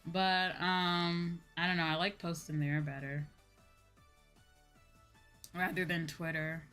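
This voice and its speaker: Bright-voiced woman streamer venting casually. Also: on the low side, flat delivery, takes it slowly, soft background music. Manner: exasperated venting with casual swearing. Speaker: VTuber streamer, host. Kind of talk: casual livestream chat.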